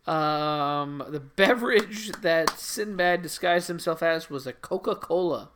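A man's voice: fairly high pitch, measured pace, background noise. A man co-hosting a trivia show, chatting casually and joking sarcastically as he reads out answers. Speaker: trivia co-host. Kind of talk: casual comedic chat reading trivia answers.

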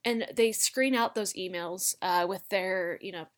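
A woman's voice: mid-range voice, measured pace. An American woman from Utah speaking as an interview guest, explaining things in an emphatic, colloquial way on a casual podcast. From Utah, United States. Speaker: guest, former congressional aide.

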